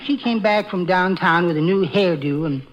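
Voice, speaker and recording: An actor playing a timid, fussy henpecked husband with a mild, prim, hesitant manner in 1940s radio comedy style. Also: male voice, sits high, moderate pace, lightly acted. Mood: meek, sheepish. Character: meek, timid henpecked little husband, fussy bird lover. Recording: noisy, dull old radio recording.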